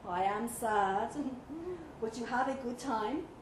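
A woman's voice: fairly high pitch, expressive, slow. A woman voicing a polite, wistful young German tourist in a short story, with hesitant, simple English. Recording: echoey room with clear background noise.

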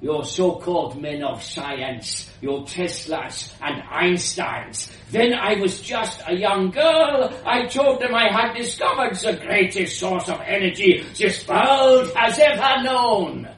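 A man voicing an elderly, eccentric woman scientist with a powerful voice, a foreign accent and halting, grandiose, bitter delivery in a story. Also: fairly high pitch, a bit bright. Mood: indignant. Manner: halting non-native grammar, grandiose, declaiming. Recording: roomy, distant mic with hiss.

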